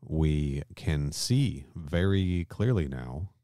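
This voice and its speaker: Very deep-voiced man chatting on a podcast. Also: lively intonation, very slow, warm tone, no echo, clean studio sound. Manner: colloquial, mildly sweary, often seeking agreement. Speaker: podcast host. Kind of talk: casual chat, recapping a story.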